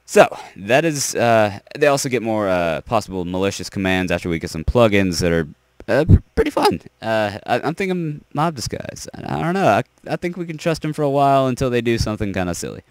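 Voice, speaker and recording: A young man losing his voice, giving a rambling, halting explanation of server ranks with lots of fillers and restarts. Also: fairly low pitch, very animated, smooth, no room sound. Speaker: server owner.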